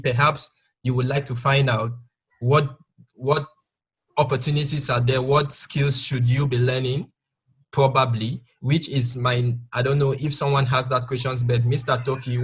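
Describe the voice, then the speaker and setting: A man with a somewhat husky voice hosts an online talk. He poses long, carefully framed questions in a polite, measured way. He is heard over a video call connection. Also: mid-range voice, a bit bright, low-quality recording. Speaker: host interviewer. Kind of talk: moderating an online interview.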